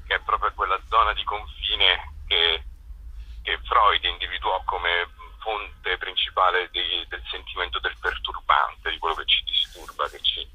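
A man's voice: low voice, fairly even intonation, measured pace, sharp tone, husky, dry recording, low-quality recording. A man speaking informally in a radio interview over the phone, searching for his words as he explains. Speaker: festival co-director being interviewed.

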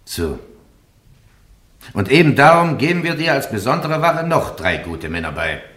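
An actor playing a stern, formal church judge presiding over a trial in a radio play, speaking with authority. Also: male voice, mid-range voice, big pitch swings, normal speed, fairly bright tone. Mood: stern, commanding. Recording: echoey courtroom-like room.

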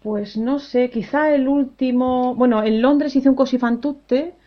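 A woman's voice: sits high, a little slow. Chatty cheerful woman, lo-fi radio sound. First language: Spanish. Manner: cheerful, chatty, laughs often. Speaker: opera singer. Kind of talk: casual interview answers.

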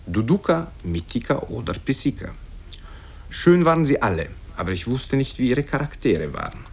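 A man's voice: mid-range voice, sing-song, normal speed, gravelly, husky, voice pushed up, heavily acted. A man voicing a wistful old boyar uncle who tells rambling, sententious tales in a radio storytelling style. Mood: musing, animated.

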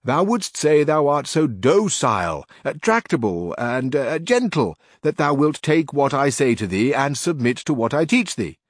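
A man's voice: mid-range voice, very animated, no room sound, pitched above the performer's own voice. Man reading as a lofty old knight, formal and pedantic, dry close sound. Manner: measured, correcting and formal.

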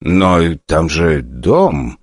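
A man voicing a hesitant, unsure young man who talks slowly. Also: extremely low pitch, sing-song, dry recording, heavily acted. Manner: slow, hesitant.

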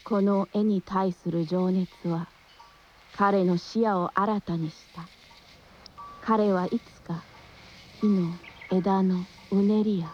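Slow, solemn female reciter played by a woman. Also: mid-range voice, highs cut off, a little hiss or hum, close to an everyday voice. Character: solemn female reciter in a literary radio play. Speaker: second narrator. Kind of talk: literary radio drama recitation.

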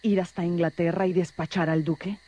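An actress playing a cold, vengeful villainess in a radio drama, haughty and menacing in manner. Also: female voice, on the low side, steady tone, brisk, dark tone, dull audio, lightly acted.

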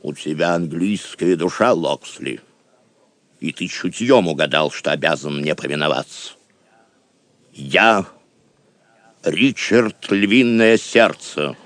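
Stately knight-king, slow and expressive. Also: deep voice, no echo, full character voice. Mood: solemn, gracious. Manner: stately, declamatory. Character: brave commanding knight-king, proud, stern yet magnanimous. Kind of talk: theatrical audio drama acting.

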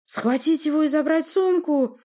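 An imperious young sorceress from a fantasy storybook, acted out by a woman narrator. She speaks in a raised, commanding voice, sharp and insistent, defiant toward anyone who doubts her. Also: a little high, lively intonation, brisk, pitched above the performer's own voice, natural voice.

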